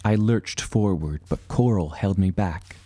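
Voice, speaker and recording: A man playing an earnest seafaring adventurer, narrating his voyage in a measured, formal storytelling manner. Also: deep voice, dark tone, breathy edge. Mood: solemn. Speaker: narrator and protagonist. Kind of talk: scripted first-person adventure narration.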